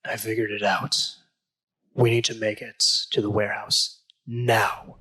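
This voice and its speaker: A man playing a tense, serious young team leader in an amateur audio drama, with a rough voice and urgent, commanding manner. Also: on the low side, level tone, measured pace, sharp tone, a little hiss or hum. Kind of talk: hobby audio drama acting.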